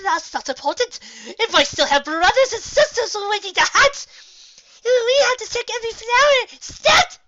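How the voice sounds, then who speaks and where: A woman voicing a melodramatic young female pony, shrill and panicky, in a story reading. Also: animated, moderate pace, bright, forceful tone, highs cut off, a little hiss or hum, full character voice. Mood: frantic. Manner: shrill, wailing.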